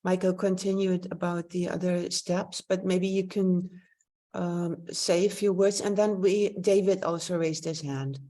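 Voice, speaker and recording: A woman's voice: fairly low pitch, steady tone, unhurried, dark tone. A woman moderating a webinar over a video call, brief and slightly hesitant.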